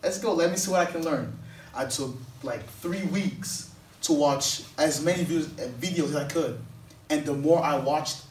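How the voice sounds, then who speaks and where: A man's voice: on the high side, moderate pace, a little hiss or hum. Young man, echoey stage. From Portugal. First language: Portuguese. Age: young adult. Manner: earnest, rambling, with many fillers and restarts. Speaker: guest speaker. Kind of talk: personal story told to an audience. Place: on stage in a hall. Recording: echoey room, distant mic.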